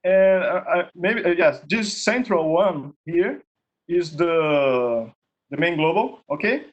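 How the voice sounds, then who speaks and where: A Portuguese-accented man, a native Portuguese speaker, demos his project on a video call. His voice sits a little high and he talks slowly. He stops and restarts often and keeps checking in with "okay?". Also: lively intonation, muffled recording. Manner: halting, repeats words, ends points with "okay?". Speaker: contest winner presenting his project. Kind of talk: screen-share demo in an online meetup.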